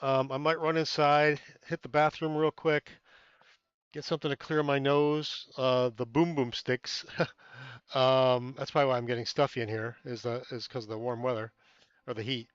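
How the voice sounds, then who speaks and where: A man's voice: medium pitch, little pitch movement, moderate pace, clear, resonant tone, no room sound, dull audio. A man hosting a casual amateur astronomy live stream from inside a small tent, chatting with viewers, thanking them by name, rambling with many fillers, and a little stuffy-nosed.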